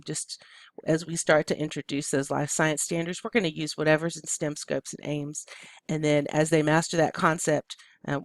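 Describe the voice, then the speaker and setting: An adult curriculum presenter who speaks to teachers with a froggy, allergy-roughened throat. The delivery is hesitant and full of ums and uhs. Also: mid-range voice, no room sound. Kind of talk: recorded curriculum walkthrough.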